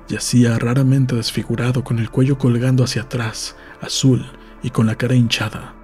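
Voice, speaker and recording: A man's voice: medium pitch, little pitch movement, moderate pace, a little mellow. A man reading a novel aloud as scripted audiobook narration for a podcast. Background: faint music.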